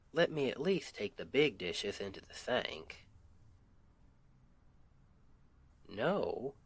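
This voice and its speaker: A man's voice: medium pitch, bright, forceful tone, no echo, heavily acted. A man playing a sweet, weary Southern US husband and roofer in an intimate ASMR roleplay, with an affectionate, teasing, folksy drawl. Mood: tired, teasing. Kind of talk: intimate ASMR roleplay, one side of a conversation.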